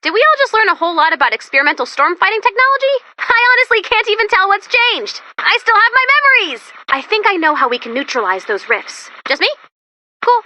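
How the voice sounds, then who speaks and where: A woman's voice: extremely high pitch, very animated, quick pace, pushed and bright, full character voice, rough recording. A woman voicing an upbeat, anxious, wisecracking female robot assistant in a video game. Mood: wry, chatty. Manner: perky, quippy, self-deprecating, dramatic. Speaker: mission guide character. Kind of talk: animated video game character dialogue.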